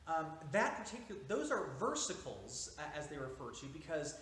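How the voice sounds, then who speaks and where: A man in his thirties teaches a seated class in a small church, his fairly high voice rising and falling a lot as he explains. He pauses on fillers and restarts now and then. The room is echoey, with a faint hiss underneath. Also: wildly swooping pitch, a bit bright. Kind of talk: informal lecture. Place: small church interior, seated near a window. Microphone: camera at a few meters.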